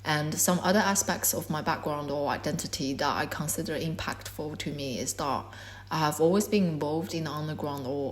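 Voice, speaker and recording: Low-voiced woman, Korean native speaker. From China. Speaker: artist. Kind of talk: prepared artist talk.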